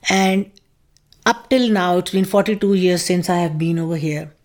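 A woman's voice: fairly low pitch, moderate pace, darkish tone. An older woman from Pakistan, the host's mother, thinking back in an interview and making light of serious things. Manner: reflective, jokes about serious things. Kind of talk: interview recollection.

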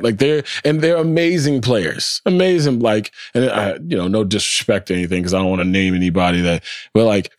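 A man with a fairly low voice hosts a sports podcast in loose, casual talk. He is animated and swears freely, often stumbling and repeating words. The sound is dry and close, with no room around it. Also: no echo. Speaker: podcast host.

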